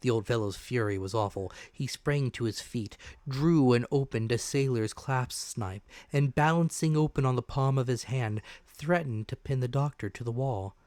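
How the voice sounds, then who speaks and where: A man giving a dramatic, deliberate audiobook narration of a classic adventure tale, in expressive storytelling style. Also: medium pitch, no room sound.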